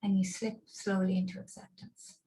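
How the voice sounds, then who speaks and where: A woman leading a guided relaxation and visualization over a video call, speaking in slow, soothing, paused instructions. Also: mid-range voice, flat delivery, mellow, low-quality recording.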